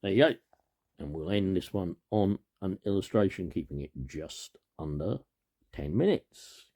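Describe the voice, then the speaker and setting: A man reads a children's story aloud as a storyteller, with an expressive, emphatic lilt and a gravelly, husky voice. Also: sits low, a little slow.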